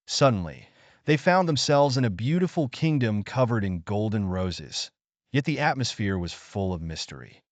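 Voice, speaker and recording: A man's voice: lowish voice, sing-song, normal speed, no echo. A man narrating a scripted bedtime story for children.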